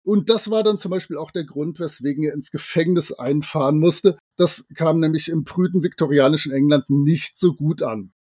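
A man's voice: fairly high pitch, normal speed, hardly any pauses, a little mellow, slightly rough, no echo, clean studio sound. A man co-hosting a podcast, talking in a wordy, jocular way with colloquial asides. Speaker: podcast co-host. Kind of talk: chatty podcast narration.

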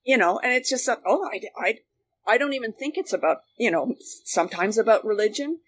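A woman's voice: a little high, animated, fairly quick, a little hiss or hum. A Canadian woman reminiscing casually as a guest, chatty and emphatic, rambling from one thought to the next. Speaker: guest, former radio worker.

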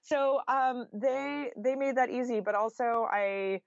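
A woman's voice: fairly high pitch, takes it slowly, gravelly, no echo, dull audio. An American woman talking informally and candidly as a guest author in a podcast interview, peppering her speech with "like" and "you know.".

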